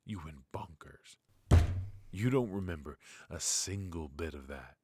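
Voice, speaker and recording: A man playing a weary, ruthless yet fatherly mafia boss in an intimate ASMR roleplay, with a low, husky, breathy voice and a wry, sighing delivery. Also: animated, darkish tone, a little hiss or hum. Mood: dry, probing. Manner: slow, pausing, sighing, wry.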